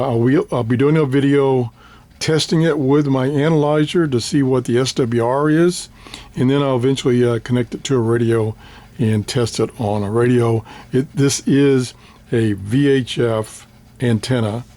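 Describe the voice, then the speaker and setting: An older man, slightly hoarse, casually showing his radio antenna and its paperwork with many fillers and restarts. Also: medium pitch, fairly even intonation, moderate pace, mellow. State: slightly hoarse, clearing throat. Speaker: ham radio hobbyist vlogger. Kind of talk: casual show-and-tell.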